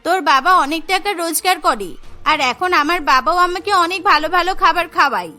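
A woman's voice: very high voice, expressive, quick pace, bright, forceful tone, faint music behind, exaggerated voice. A woman voicing an eager, affectionate and proud little schoolgirl in a cartoon, chatty and earnest. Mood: hurt, indignant.

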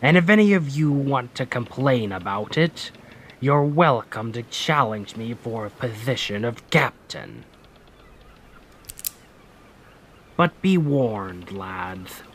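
A man playing a stern, commanding pirate captain with gruff protectiveness in an ASMR roleplay, speaking deliberately and with authority. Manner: slow, deliberate, authoritative, phrases trailing low.

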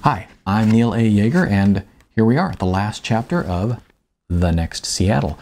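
Gravelly middle-aged American man reading aloud. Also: fairly low pitch, expressive, unhurried, darkish tone. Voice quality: gravelly, slightly husky. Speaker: author reading his audiobook. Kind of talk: first-person audiobook narration with casual asides. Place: small indoor room, seated at a table.

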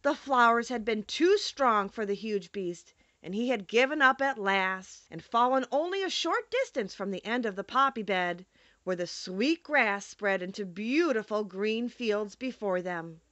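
A woman narrating a children's audiobook in an expressive storytelling style. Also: medium pitch, measured pace, no echo, muffled recording.

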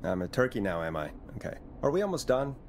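A man plays a weary late-night radio DJ in a video game. He is dry and grudging, with a medium-pitched voice that swings widely in intonation over faint background noise. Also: fast talker, close to an everyday voice. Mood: reluctant, dry. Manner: deadpan, grudging. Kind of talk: game voice acting.

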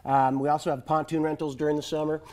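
A man in his thirties with a mellow, darker voice talks in an easygoing, chatty way, full of "uh"s and restarts. He is a fishing guide speaking on a TV studio set through a lapel mic. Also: fairly high pitch, quick pace. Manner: relaxed and chatty, many "uh"s and restarts, chuckles often. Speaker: fishing guide and business owner. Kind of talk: casual TV studio interview.

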